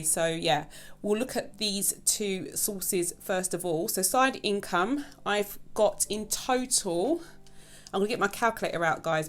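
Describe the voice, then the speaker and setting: Give me a chatty woman hosting a budgeting vlog, in a mid-range voice. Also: mid-range voice. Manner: chatty, keeps addressing viewers as "guys". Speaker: budgeting channel host. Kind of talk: casual vlog chat.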